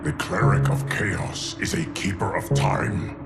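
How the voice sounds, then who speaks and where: An actor playing a grave, ominous guardian of time in a video game, with a very gravelly voice. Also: male voice, sits low, fairly even intonation, unhurried. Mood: foreboding. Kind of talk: video game cutscene acting. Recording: loud game music underneath.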